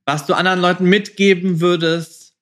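A man's voice: high voice, flat delivery, moderate pace, fairly bright tone. A man hosting a casual interview, speaking informally and mixing in English words. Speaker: podcast host, interviewer.

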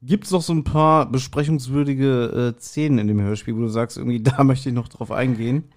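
A man's voice: medium pitch, steady tone, brisk. A man co-hosting a podcast, explaining his views in a colloquial, casual review chat. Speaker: podcast co-host. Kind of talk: casual review chat about audio dramas.

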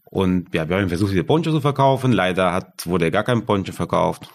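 A man, a guest talking about founding his yarn business, halting as he searches for German words. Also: low voice, expressive. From Argentina. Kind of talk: casual interview.

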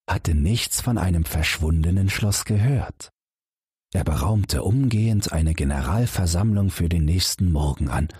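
Husky, low-voiced male storyteller. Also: no echo, studio quality. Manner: calm, expressive storytelling. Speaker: audiobook narrator. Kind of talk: scripted fairy-tale reading.

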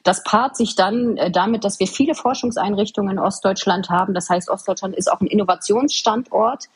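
A woman from eastern Germany with a husky voice, answering interview questions in an earnest, articulate and emphatic way. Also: mid-range voice, a little fast. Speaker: podcast guest, government official. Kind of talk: interview answers, explanatory conversation.

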